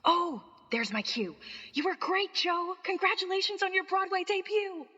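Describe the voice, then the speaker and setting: Woman playing a very high, bright young ingenue. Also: normal speed. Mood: sweet, breezy. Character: sweet, breezy young ingenue stage actress. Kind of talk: radio play acting. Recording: reverberant hall, dull band-limited sound.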